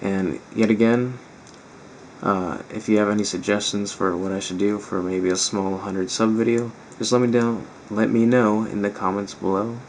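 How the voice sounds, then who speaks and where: A man talks casually to his viewers as a reaction host, rambling informally. His voice is strained and raspy, on the low side with a lot of creak, over slight noise. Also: fairly even intonation, moderate pace. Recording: faint background noise.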